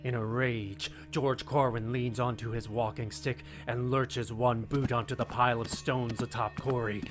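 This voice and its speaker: A man's voice: mid-range voice, fairly even intonation. Grave male narrator over dramatic music. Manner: grave, deliberate, suspenseful phrasing.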